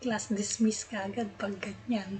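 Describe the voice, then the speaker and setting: A woman's voice: mid-range voice, fairly even intonation, normal speed, darkish tone, slight background noise. A young adult woman with a Filipino accent, chatting casually as she streams a game. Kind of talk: casual live-stream chat while gaming.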